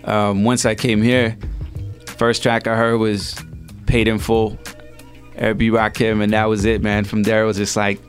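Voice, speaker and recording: A man's voice: lowish voice, level tone, moderate pace, soft background music. A man from El Salvador, a rapper guest, telling his story in a loose, slangy, laid-back way on a radio show. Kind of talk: casual radio interview.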